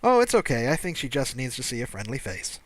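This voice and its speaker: A man's voice: medium pitch, big pitch swings, fast talker, a bit bright, no echo. A man voicing a wry, kindly alien rescuer who talks in a breezy, teasing, persuasive way in an audiobook. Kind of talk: audiobook character dialogue.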